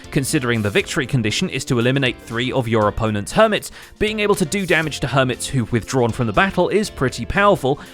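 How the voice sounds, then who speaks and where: Guy hosting a scripted gaming recap, wry, really animated pitch, bit of music under it. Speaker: host and narrator of a gaming recap show. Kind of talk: scripted narration.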